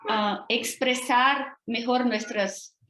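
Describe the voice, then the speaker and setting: A woman's voice: fairly high pitch, fairly even intonation, takes it slowly, sharp tone, a little hiss or hum. A woman from Brazil whose first language is Portuguese, giving an academic lecture over a video call with a Brazilian Portuguese accent and careful pacing, often checking in with tag questions. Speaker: guest lecturer. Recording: roomy video call audio.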